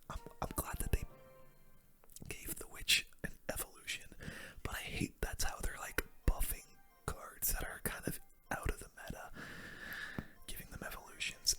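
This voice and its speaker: A man giving casual, chatty ASMR gameplay commentary in a breathy, unvoiced whisper, talking to viewers as a gaming video host. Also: sharp tone.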